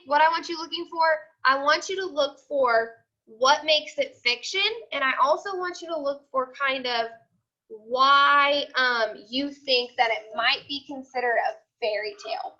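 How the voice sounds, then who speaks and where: Female elementary teacher, high-pitched, explanatory and repetitive, on a muffled video call. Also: sharp tone. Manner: explanatory, repetitive, checking understanding often. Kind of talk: informal online class lesson to children. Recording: dull, lo-fi video call audio.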